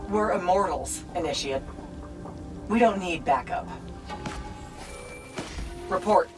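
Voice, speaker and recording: A woman's voice: on the low side, expressive, takes it slowly, over music. An actress playing a stern, ruthless female battlemage commander in a video game, speaking in a clipped, commanding, dry way. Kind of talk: dramatic video game acting.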